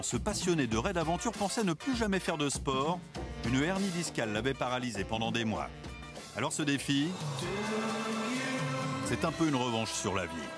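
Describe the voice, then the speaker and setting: A man's voice: a little high, lively intonation, moderate pace, music in the background. A male reporter reading scripted news narration.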